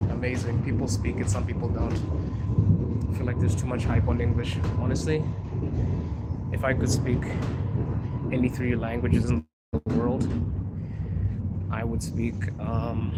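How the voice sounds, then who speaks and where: A man's voice: medium pitch, little pitch movement, a little slow, pauses often. Chatty man streaming from a noisy metro. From Zimbabwe. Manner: chatty, answers chat questions, laughs often. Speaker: livestream host. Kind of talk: casual live-stream chat with viewers. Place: Moscow metro train and platform. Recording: loud train and city noise.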